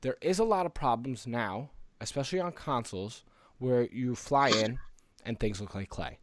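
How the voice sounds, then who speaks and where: A man with a mid-pitched voice talks casually as a podcast co-host, explaining and giving his opinions. The sound is dry, with very little room to it. His delivery is conversational and informal. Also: normal speed, dry recording. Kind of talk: casual podcast chat about gaming.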